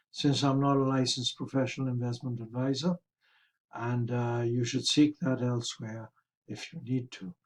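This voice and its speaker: A man hosting a market review, explaining charts in a measured, careful way with a slightly raspy, hoarse voice. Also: medium pitch, a little mellow. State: hoarse, throat-clearing. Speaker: host of a stock market review.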